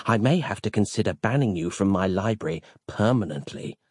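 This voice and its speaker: A man voicing a stern, bossy and ardent female librarian with a breathy, scolding delivery for a comic audiobook. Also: fairly low pitch, expressive, a little fast, darkish tone, no echo, studio quality. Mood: teasing warning. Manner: panting, scolding.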